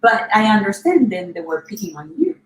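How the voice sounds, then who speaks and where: A woman's voice: mid-range voice, sing-song, brisk. A woman hosting an interview, earnest and affirming, often echoing her guest's words. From Latin America. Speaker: interview host. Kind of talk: conversational interview.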